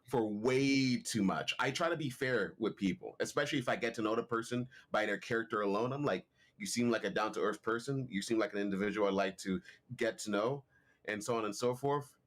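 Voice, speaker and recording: A man's voice: fairly low pitch, brisk. A man in casual voice chat with friends, rambling and restarting as he talks.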